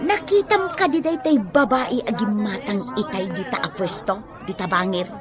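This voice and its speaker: An actress playing a gossipy, flamboyant market vendor in an Ilocano comedy radio drama, exclaiming and teasing with an Ilocano accent. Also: female voice, medium pitch, normal speed, a crowd in the background, close to an everyday voice. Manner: exclamatory, teasing, drawling for effect.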